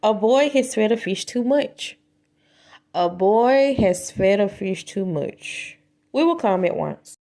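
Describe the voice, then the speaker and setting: Woman as a commanding, animated storybook fireman. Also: mid-range voice, slow. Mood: alarmed. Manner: calling out, exclamatory. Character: urgent, commanding male fireman in a children's story.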